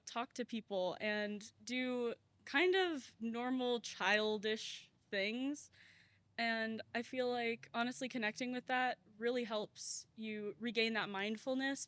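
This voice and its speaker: A woman's voice: fairly high pitch, fairly bright tone, dry recording. Reflective young woman on a student panel. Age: young adult. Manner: reflective, uses many "like" fillers. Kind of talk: panel discussion.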